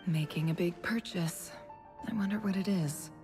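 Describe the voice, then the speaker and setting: Actress as thoughtful female investigator, lower-pitched, calm, measured, musing to herself, video game dialogue, faint music. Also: natural voice. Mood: analytical.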